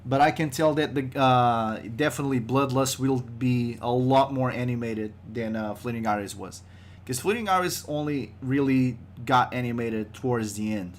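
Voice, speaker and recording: A man's voice: mid-range voice, moderate pace, slight background noise. A man hosting a casual podcast livestream, joking and loose with a groggy voice. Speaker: podcast host.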